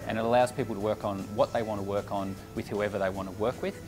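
Measured man in an office interview. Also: lowish voice, fairly even intonation. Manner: measured, thoughtful, repeats words when restarting. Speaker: managing director. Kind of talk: corporate video interview. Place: office kitchen area indoors. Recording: music bed underneath.

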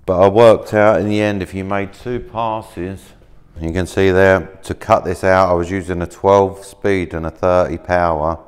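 Low-voiced man demonstrating in a workshop. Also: clean tone. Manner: relaxed, rambling, many fillers and restarts. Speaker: maker demonstrating laser-cut materials. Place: workshop, indoors, at a work table.

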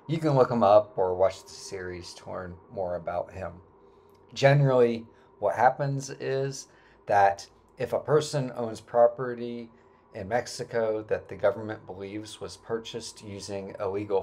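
A man in his forties narrating a travel vlog about a hike, relaxed and explanatory, with frequent filler words. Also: fairly low pitch, a little hiss or hum. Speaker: travel vlogger. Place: indoor room, then voice-over on hike footage.

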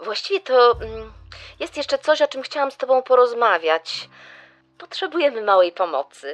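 An actress playing a scheming wife making a coaxing phone call in a dramatised podcast. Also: female voice, a little high, lively intonation, moderate pace, close to an everyday voice. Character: scheming wife phoning an acquaintance.

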